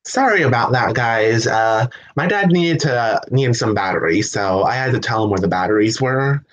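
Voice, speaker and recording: Guy from the us doing a casual home livestream q and a, mid pitch, chatty, laughs a lot, keeps restarting sentences. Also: expressive, moderate pace, fairly bright tone, dull audio. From United States. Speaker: livestream host. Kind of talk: casual livestream chat answering viewer questions.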